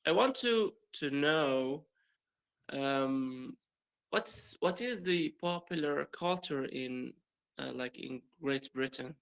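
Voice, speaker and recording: Slow, gravelly man from Morocco. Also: on the high side, lively intonation, fairly bright tone. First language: Arabic. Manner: hesitant, many fillers and restarts, laughs often. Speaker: primary school teacher practicing English. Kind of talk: casual conversation practice.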